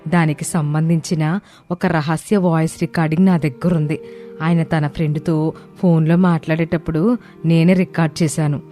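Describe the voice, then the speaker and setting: A woman's voice: sits low, steady tone, moderate pace, warm tone, faint music behind, close to an everyday voice. A woman voicing a loyal office assistant in a moral story, earnest, respectful and reassuring. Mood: earnest reassurance. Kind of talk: acted moral-story dialogue.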